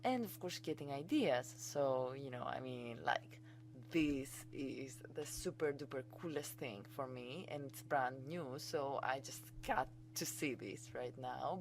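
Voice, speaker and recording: A woman's voice: very deep voice, dark tone, a little hiss or hum, rough recording. A woman with a Greek accent, a native Greek speaker, giving an enthusiastic, chatty screencast tutorial as a college instructor.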